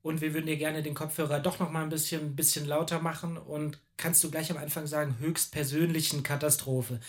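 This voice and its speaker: A man's voice: on the high side, level tone, a little fast. A man working as a recording director, giving informal, encouraging and slightly hesitant instructions.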